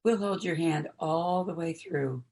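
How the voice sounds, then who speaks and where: A woman acting in an audio play as a supportive, gently resolute mourner, earnest and reflective. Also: deep voice, a little slow.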